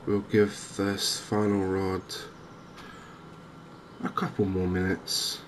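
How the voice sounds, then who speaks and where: Low, slow, softly spoken man. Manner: gentle, unhurried, chatty, hesitant pauses. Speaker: gaming video host. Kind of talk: softly spoken ASMR game commentary. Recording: clear steady background noise and ambience.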